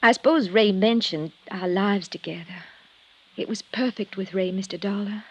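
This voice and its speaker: A woman's voice: mid-range voice, moderate pace, husky. An actress playing a fragile, genteel, bereaved woman in an old-time radio drama, speaking earnestly. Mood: wistful, hopeful. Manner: soft-hearted, earnest, genteel. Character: fragile, genteel bereaved woman hopeful about new love. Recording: dull vintage radio recording.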